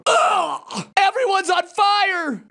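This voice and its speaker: An actor playing an excitable, sarcastic ordinary townsman in a video game, delivering short, exaggerated barks in a theatrical way. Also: extremely high pitch, slow pace, gravelly, full character voice. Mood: panicked. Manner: theatrical, snarky, swinging between casual and frantic. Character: excitable, sarcastic ordinary male town bystander.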